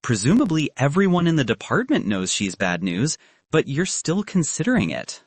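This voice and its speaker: A man's voice: a little high, huge pitch swings, normal speed, slightly rough, dry recording, heavily acted. A man voicing a young woman physicist in an audiobook, confident and frank, with a dry, advice-giving manner. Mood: frank, serious.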